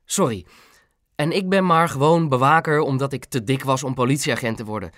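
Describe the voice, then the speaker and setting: A man's voice: mid-range voice, a little fast, a bit bright, dry recording, clean studio sound, natural voice. A man voicing a plain-spoken, henpecked father with an earnest manner in an audiobook. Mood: meek, earnest. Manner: earnest, meek with his wife. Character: plain-spoken, henpecked working-class dad, security guard. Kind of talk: audiobook character voice.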